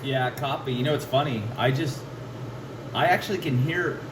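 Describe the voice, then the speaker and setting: Man, casual radio hobbyist, thinking out loud, distant from the mic, very loud background noise. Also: mid-range voice, moderate pace. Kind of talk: casual two-way radio chat. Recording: noisy, echoey radio chat, off-mic.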